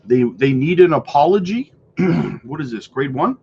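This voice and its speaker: A man hosting a casual crypto livestream, hoarse and losing his voice, rambling and clearing his throat as he thinks aloud. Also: a little high, expressive, normal speed, muffled recording.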